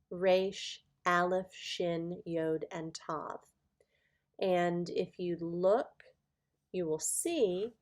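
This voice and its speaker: A woman teaching an informal Bible lesson to viewers in a deliberate, halting way, leaning on key words. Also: lowish voice, mellow. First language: English.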